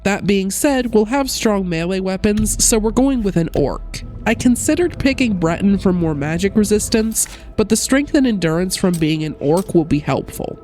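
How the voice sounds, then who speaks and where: A woman giving a steady, explanatory gameplay narration as a gaming video creator, her voice a little off while she recovers from a cold. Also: mid-range voice, normal speed, hardly any pauses, soft background music. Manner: steady, explanatory rule rundown. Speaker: gaming YouTuber.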